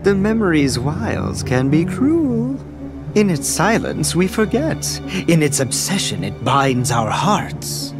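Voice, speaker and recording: Theatrical, wildly swooping taunting villain. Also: male voice, fairly high pitch, sing-song, over music. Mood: cryptic, sinister. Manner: theatrical, mocking, drawn-out riddling delivery. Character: taunting, theatrical, cryptic villain toying with a hero. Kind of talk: video game cutscene voice acting.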